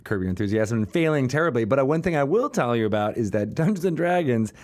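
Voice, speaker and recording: A man's voice: mid-range voice, sing-song, quick pace, a little mellow. Joking male podcast host, casual banter. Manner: joking, teasing.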